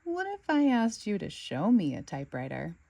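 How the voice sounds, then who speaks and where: A woman voicing a calm, kindly girl puppy in a storybook, gently encouraging. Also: mid-range voice, moderate pace, creaky, heavily acted. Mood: gentle.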